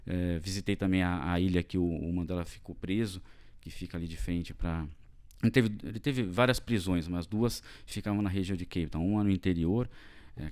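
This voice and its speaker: Low-voiced, fast-talking Brazilian man. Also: a little mellow, clean tone. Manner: rambling, many fillers and tag questions. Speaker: podcast guest, tax executive. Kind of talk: casual interview chat.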